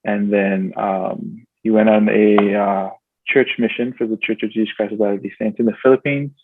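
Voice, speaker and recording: A man's voice: fairly low pitch, fairly even intonation, moderate pace, dry recording. Man hosting a podcast over a tinny line. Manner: hesitant, many fillers and restarts. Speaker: podcast host. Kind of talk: casual interview. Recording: tinny phone-like sound, dry.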